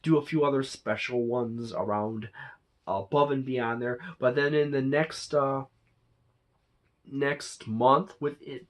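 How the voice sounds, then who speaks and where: Someone doing an off the cuff review for their channel, mid pitched voice, kinda rambly and halting, keeps fixing what they said. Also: fairly even intonation. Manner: halting, rambling, frequent self-corrections. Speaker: movie reviewer and channel host. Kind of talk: casual unscripted review monologue.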